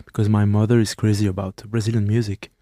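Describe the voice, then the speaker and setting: A young man from France who speaks natively, talking haltingly in an interview, searching for words with many pauses. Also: fairly low pitch, flat delivery, warm tone, no echo, studio quality. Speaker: musician guest. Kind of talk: interview answers.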